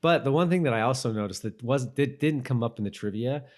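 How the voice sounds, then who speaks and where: A man co-hosting a podcast, chatting casually and informally in a halting way, as if thinking aloud. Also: mid-range voice, a little mellow. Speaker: podcast co-host. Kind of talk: casual chat about a TV rewatch.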